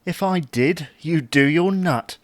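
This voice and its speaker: A man's voice: a little high, slow, no room sound. A man playing a mild, baffled, henpecked husband with a dry, grudging manner in a domestic audio sitcom. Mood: wry.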